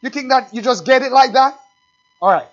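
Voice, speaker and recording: High-voiced pastor preaching with fire. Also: male voice. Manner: swings from low asides to loud preaching; reads scripture slowly and with emphasis. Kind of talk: fiery sermon. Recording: dull, lo-fi recording.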